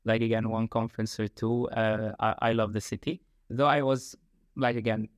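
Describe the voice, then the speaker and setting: A man's voice: fairly low pitch, level tone, normal speed, clean tone, no room sound, clean studio sound. A man from Egypt, a guest talking about his work in casual interview talk, full of fillers and repeated phrases. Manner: frequent fillers, repeated "like, again". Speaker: guest, talent acquisition lead. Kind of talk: conversational interview.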